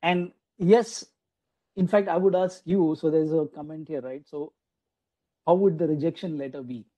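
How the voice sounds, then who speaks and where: A man's voice: fairly high pitch, moderate pace, dull audio. A man giving a conversational online lecture to teachers, with many restarts and "you know" asides, over a video call. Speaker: guest lecturer at a faculty development program.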